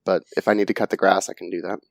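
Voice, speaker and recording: A man's voice: deep voice, flat delivery, racing, creaky, no room sound. A man co-hosting a podcast, telling a story in a casual, laid-back way with fillers and swearing. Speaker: podcast co-host. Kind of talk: casual chat.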